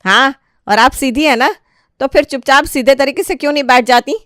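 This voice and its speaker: A woman voicing a sharp-tongued, defiant middle-aged wife and daughter-in-law who argues back in an animated family story. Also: sits high, fairly quick, no room sound, clean studio sound. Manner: argumentative, retorting.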